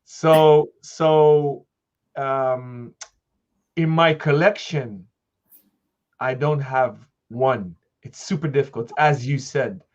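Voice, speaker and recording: A middle-aged man hosting a conversational interview, talking in a halting, thinking-aloud way on a slightly lo-fi recording. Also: medium pitch.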